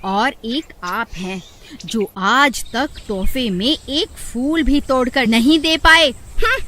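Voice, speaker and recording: A woman plays a nagging village wife in a cartoon. Her voice is high and sharp, drawn out slowly and dramatically, with a complaining edge. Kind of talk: animated story acting. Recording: faint background noise.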